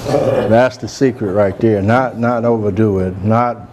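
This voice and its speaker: A soft-spoken man, chatting relaxed as he hosts a cooking demonstration in a large room. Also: fairly low pitch, measured pace, crowd noise. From Georgia, US. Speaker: cooking show host, chef. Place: large room with a live audience.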